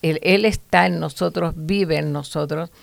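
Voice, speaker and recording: A woman's voice: deep voice, steady tone, unhurried, gravelly, dry recording. A woman teaching from the Bible to other women in an earnest, preachy way, with fillers and restarts.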